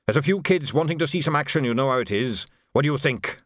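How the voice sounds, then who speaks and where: Man voicing a lively, deferential old sergeant. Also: medium pitch, quick pace, dry recording, studio quality, pitched above the performer's own voice, close to an everyday voice. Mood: respectful, doubtful. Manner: folksy, ungrammatical, barks at recruits. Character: deferential ageing sergeant, cheerful old soldier drilling volunteers. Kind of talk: audiobook character voice.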